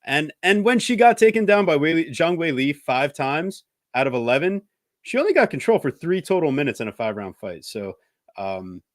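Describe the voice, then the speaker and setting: A man co-hosting a podcast, calmly analyzing a fighter's chances and betting odds. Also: on the high side, moderate pace, no echo, studio quality. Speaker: podcast co-host. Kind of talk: casual sports betting chat.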